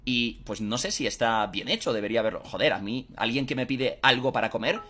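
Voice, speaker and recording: A man's voice: mid-range voice, fairly quick, soft background music. A young man, animatedly telling a story to the camera in a room while wearing a headset. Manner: animated, conversational. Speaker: YouTuber. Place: indoors in a room.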